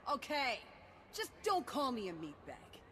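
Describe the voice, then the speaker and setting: Eager, very high-pitched little hunter. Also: female voice, huge pitch swings, unhurried, exaggerated voice. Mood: excited. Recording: clear background noise.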